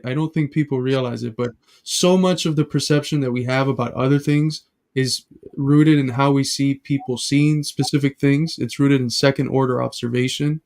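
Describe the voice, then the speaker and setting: Mid-pitched man explaining on a live stream. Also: a little mellow. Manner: explanatory, lecturing with everyday examples. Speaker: stream host. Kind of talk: casual live-stream commentary.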